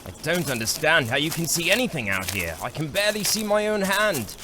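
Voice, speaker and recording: A man playing an anxious, frightened young adventurer in a fantasy audio drama, with a high-strung delivery. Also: big pitch swings, fairly quick, background noise. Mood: uneasy.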